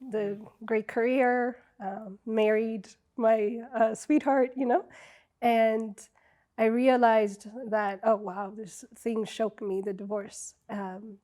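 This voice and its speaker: A middle-aged woman from Ethiopia speaks slowly in a warm, mellow voice that sits a little high. She is an interview guest in a television studio, telling her story reflectively with many ums and pauses. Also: flat delivery. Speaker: guest, wellness coach.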